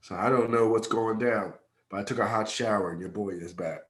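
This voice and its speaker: A man's voice: fairly low pitch, a little fast. A man with a stuffed-up, congested voice, telling stories in a laid-back, slangy way as a podcast co-host. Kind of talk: casual chat.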